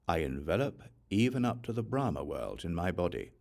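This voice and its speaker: A man reads a sacred text aloud as an audiobook, in measured, solemn phrases with deliberate pauses. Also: low voice, very animated, gravelly, a little mellow. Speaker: audiobook reader of scripture. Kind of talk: scripted reading of a Buddhist sutra.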